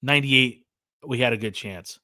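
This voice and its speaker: Casual American host, 40s, rambling livestream chatter, mid-range voice, dry close mic. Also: male voice, mid-range voice, moderate pace, pushed and bright, no echo. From California, USA. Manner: rambling, lots of fillers and restarts, reads chat aloud. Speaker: podcast host.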